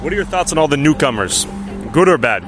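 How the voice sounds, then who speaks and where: Fast-talking male street interviewer. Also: fairly high pitch, sing-song. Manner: brisk, probing questions. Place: city street outdoors. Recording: street audio with loud music over. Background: music.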